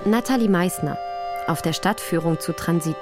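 A woman's voice: lowish voice, moderate pace, loud music behind the voice. A woman reading scripted narration as the narrator of a radio documentary.

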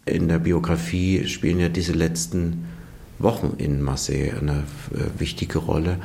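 Very deep, gravelly man being interviewed. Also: flat delivery, measured pace, dark tone. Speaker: interviewed archive director. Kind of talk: spontaneous expert interview.

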